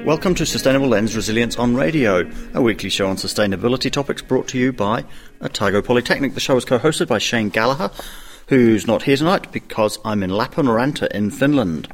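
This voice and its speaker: A man's voice: mid-range voice, animated, fairly quick, faint music behind. A man hosting a radio interview, asking brief questions with a dry wit. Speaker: radio show host.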